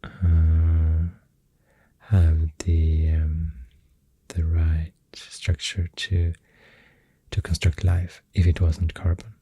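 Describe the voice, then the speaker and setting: An ASMR host with a Swedish accent, rambling softly and hesitantly in a breathy voice that often drops to a whisper, close to the mic over pencil sounds. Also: very deep voice, monotone, no echo. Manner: hesitant, unhurried musing. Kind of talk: soft-spoken ASMR rambling. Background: pencil drawing and clicking sounds.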